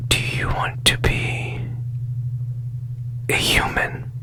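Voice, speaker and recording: A man playing a strange, secretive, obsessive repairman in a hushed, deliberate, whispered ASMR roleplay with long pauses. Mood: intense, envious.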